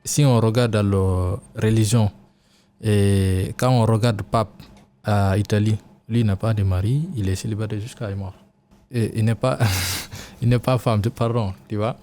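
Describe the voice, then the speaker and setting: A young male student with a low, dark-toned voice argues his point earnestly in halting French. Soft music plays faintly behind him. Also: fairly even intonation. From Guinea-Bissau. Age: young adult. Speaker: vocational student. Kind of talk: classroom debate.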